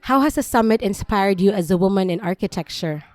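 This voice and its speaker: A woman hosting a podcast, reading a scripted intro and outro and asking interview questions in a measured, read-aloud way. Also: medium pitch, expressive, no echo. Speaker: podcast host.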